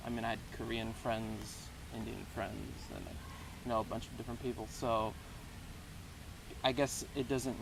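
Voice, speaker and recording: A man in his twenties from Texas, answering interview questions in a relaxed, rambling way with lots of hedges. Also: fairly low pitch, unhurried, vocal fry. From Houston, Texas. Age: 20s. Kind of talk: casual unscripted interview. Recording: noisy background.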